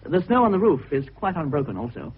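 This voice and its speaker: Husky, precise formal witness. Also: male voice, fairly high pitch, very animated, fast talker, full character voice. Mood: crisp, dry. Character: precise, self-assured man answering police questions. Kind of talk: British radio drama acting. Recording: tinny narrow radio recording.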